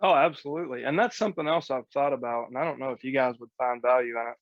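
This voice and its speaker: A man with a noticeably creaky, gravelly voice talks like a coach on a casual group call. He is earnest and colloquial, and the audio is close and dry but slightly dull. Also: mid-range voice, quick pace, no echo. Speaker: host and real estate coach.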